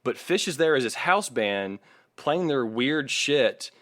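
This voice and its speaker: A man's voice: mid-range voice, lively intonation, slow pace, fairly bright tone, no echo, studio quality. A man co-hosting a podcast, narrating music history casually and informally with an amused streak. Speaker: podcast co-host.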